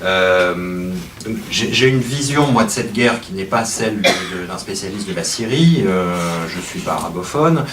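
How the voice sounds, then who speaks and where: Male speaker, very low voice, hesitant lecturer, distant mic in a noisy, echoey hall. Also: animated, normal speed, fairly bright tone. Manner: hesitant, frequent restarts. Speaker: journalist guest speaker. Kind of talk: lecture to an audience. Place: meeting hall. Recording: echoey room from far off, noisy.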